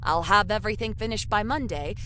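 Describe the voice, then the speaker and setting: An actress playing a hot-tempered, cynical, foul-mouthed game show executive in an audio drama, brusque and sarcastic. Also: female voice, mid-range voice, brisk, pushed and bright, close to an everyday voice. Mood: irritated, sardonic.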